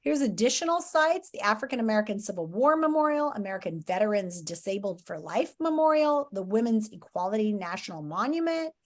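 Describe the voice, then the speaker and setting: A woman teacher giving an informal, step-by-step lesson to students, thinking aloud as she explains. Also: fairly high pitch.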